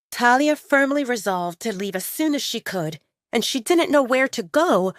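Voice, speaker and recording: A woman narrates a romantic drama in an expressive storytelling style. Her mid-range voice rises and falls in a lively way. The recording is dry, with no room sound. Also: mid-range voice, no room sound. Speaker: audiobook narrator.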